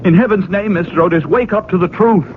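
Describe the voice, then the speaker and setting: An actor playing an earnest, protective young sweetheart in a 1980s radio drama, urgent and melodramatic. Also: male voice, high-pitched, fast talker, natural voice. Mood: despairing. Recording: tinny, hissy old radio recording.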